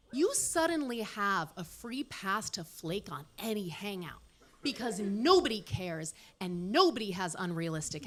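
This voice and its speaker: Woman in her late 30s doing standup, high bright voice, bouncy delivery, dry self-roasting jokes. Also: slight background noise. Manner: dry, self-deprecating punchlines. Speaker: stand-up comedian. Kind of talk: stand-up comedy set. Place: comedy club stage with live audience.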